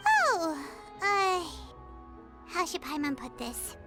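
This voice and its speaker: A tiny, cheeky fairy sidekick from a video game. The voice is extremely high and childlike, with chirpy, swooping exclamations over clear background music. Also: female voice, wildly swooping pitch, slow, full character voice. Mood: surprised. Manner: exclaiming, refers to self in third person.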